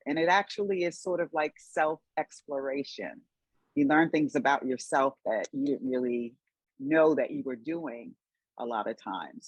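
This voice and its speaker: Low-voiced woman, lively and husky. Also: no room sound, poor audio. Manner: reflective, chatty, laughs easily. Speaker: acting student guest. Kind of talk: casual video call introduction.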